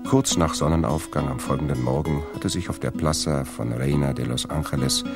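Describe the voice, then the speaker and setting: A man's voice: very deep voice, brisk. A man narrating a radio drama in a scripted storytelling style. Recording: loud plucked guitar music behind. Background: guitar music.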